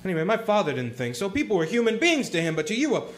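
A man voicing an earnest young man in a community radio play who defends ordinary people and stumbles over his words when upset. His voice is a little high for a man. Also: big pitch swings, rapid-fire. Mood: indignant. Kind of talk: amateur radio play acting.

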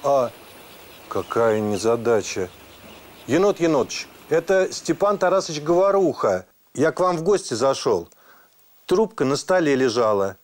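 A middle-aged man playing a kindly, instructive village postman on children's television, speaking clearly in an animated, didactic way. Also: medium pitch, measured pace. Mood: apologetic. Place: television studio set.

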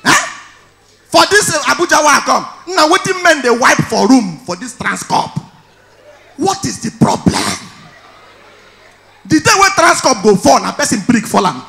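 A man in his thirties with a Nigerian accent, performing stand-up on stage with a handheld mic, telling stories loudly and shouting his punchlines. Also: very high-pitched, very animated, normal speed, gravelly, long pauses. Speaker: stand-up comedian. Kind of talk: stand-up comedy in Pidgin. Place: large indoor event hall on stage.

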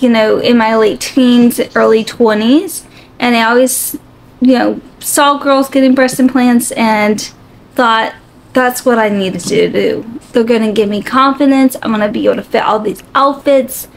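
Forties woman, vlogger; slightly high, unhurried, candid and reflective, filler-heavy; bedroom, camera a few feet off. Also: gravelly, slight background noise. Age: 40s. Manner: slow, reflective and open, with many "like" and "you know" fillers. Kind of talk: candid personal vlog. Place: bedroom, seated on a bed. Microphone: camera a few feet away.

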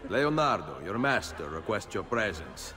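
An actor playing a stern, commanding hooded Renaissance assassin who speaks tersely in a video game. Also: male voice, on the low side, big pitch swings, normal speed, music in the background. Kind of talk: dramatic video game cutscene acting.

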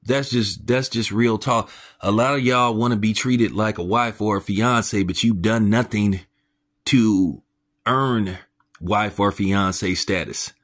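A man's voice: slow pace, a bit bright. A man hosting a commentary channel, ranting in a blunt, mocking and crude way, his voice jumping up when he is incredulous. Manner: pitch jumps up when incredulous.

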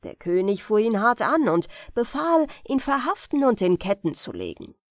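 A woman narrating fairy tales as a bedtime audiobook in a measured, expressive storytelling manner. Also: medium pitch, dry recording, clean studio sound. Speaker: audiobook reader.

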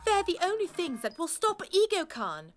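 Feisty very high-voiced English scholar. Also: female voice, huge pitch swings, moderate pace, faint music behind, exaggerated voice. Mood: defiant. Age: young adult. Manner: assertive, emphatic. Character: feisty, bookish young English female scholar. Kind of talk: cartoon voice acting.

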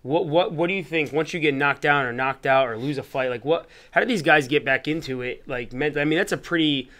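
A man's voice: a little high, fairly quick, bright, forceful tone. A man in his thirties hosting a podcast, asking long rambling questions into a studio microphone. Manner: rambling questions full of "like" and "I mean". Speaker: podcast host. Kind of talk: interview questions. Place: studio room with bookshelves. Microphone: studio mic on a boom arm.

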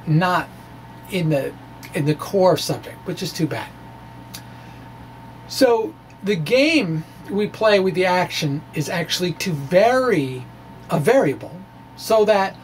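A middle-aged man gives an informal explanatory physics talk in a chatty, halting way, with frequent asides. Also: sits high, poor audio. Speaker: science blogger presenting a physics lecture. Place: small indoor room with bookshelves. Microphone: handheld-style microphone on a stand in front of him. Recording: clear background noise, room ambience.

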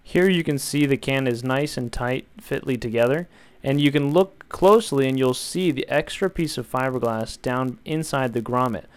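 A young man calmly walking viewers through a build, step by step, in an instructional voice-over. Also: medium pitch, moderate pace, clean tone. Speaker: DIY tutorial maker.

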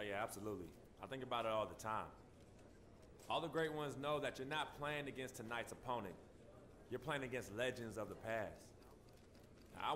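A man's voice: mid-range voice, fairly bright tone, a little hiss or hum, lightly acted. A man acts as a cocky young pro athlete at a video game press conference. He talks in brash, slangy, dismissive answers, with faint room ambience behind him. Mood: self-assured.

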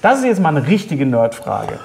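A middle-aged man with a fairly high voice hosts a relaxed interview. He chats casually and reads questions aloud, often stumbling back over his words to repeat them. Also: normal speed, vocal fry. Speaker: talk show host. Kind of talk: casual interview chat, reading out fan questions. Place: indoor studio set at a table.

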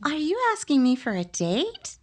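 A woman's voice: high voice, big pitch swings, normal speed. A woman playing a sweet young sweetheart in a recreated 1950s radio sitcom. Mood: expectant.

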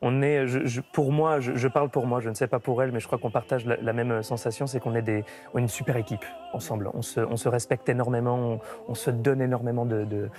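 A man's voice: medium pitch, flat delivery, fast, few pauses, darkish tone, soft background music. A man in his thirties, an opera tenor, answering questions reflectively in a TV studio interview, often restarting his sentences. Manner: thoughtful, reflective, with frequent restarts. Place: TV studio set. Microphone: broadcast mic, medium shot.

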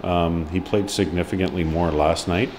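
A man's voice: low-pitched, steady tone, moderate pace, a little mellow, slight background noise. A man in his forties, a hockey head coach, giving a frank post-match interview full of ums and restarts. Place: indoor press room before a sponsor board.

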